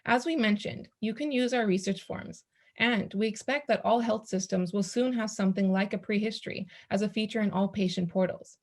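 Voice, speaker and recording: A woman's voice: medium pitch, fairly quick, darkish tone. A woman delivering scripted explanatory advice to patients as a panelist on a health education program.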